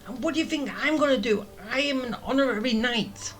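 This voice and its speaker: A woman's voice: high-pitched, a little fast. A woman streaming a game with casual, teasing, sarcastic commentary. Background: video game music.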